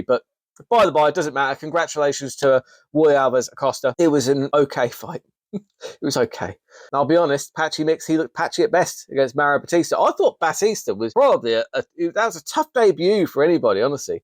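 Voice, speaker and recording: A man's voice: a little high, no echo. A man, hosting a casual, enthusiastic fight recap with frequent restarts. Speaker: host. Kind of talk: casual MMA recap commentary.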